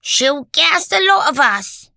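Casting: mischievous elderly resident for an audiobook, high and slow, mocking and colloquial. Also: female voice, big pitch swings, creaky, sharp tone, no echo, studio quality, full character voice. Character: teasing elderly care-home residents, cackling and mischievous.

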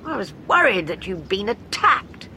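A woman's voice: medium pitch, sing-song, normal speed, sharp tone, slight background noise, pitched above the performer's own voice. Woman reading a bashful young woman companion. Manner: sheepish, candid.

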